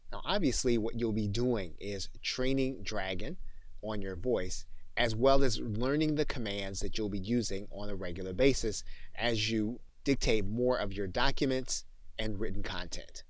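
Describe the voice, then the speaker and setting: Guy doing a software tutorial, lowish voice, dry close sound, goes step by step. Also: normal speed, dry recording. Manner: methodical, explains step by step. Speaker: software training instructor. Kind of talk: instructional screencast narration.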